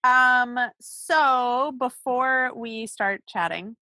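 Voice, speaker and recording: A woman co-hosting a podcast in casual chat, succinct and quick to laugh, recorded in a small closet. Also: fairly high pitch, pushed and bright, dry recording. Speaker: podcast co-host.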